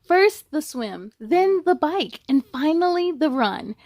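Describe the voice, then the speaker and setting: A woman reading a children's book aloud in an expressive, encouraging way, with chatty asides to young listeners. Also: high-pitched, slow pace, dry recording.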